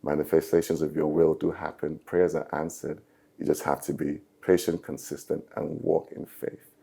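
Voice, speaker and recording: Deep gravelly Nigerian-born man. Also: flat delivery, warm tone. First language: Yoruba. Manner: earnest, upbeat, speaks in short measured phrases. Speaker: musician guest. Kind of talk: reflective interview talk.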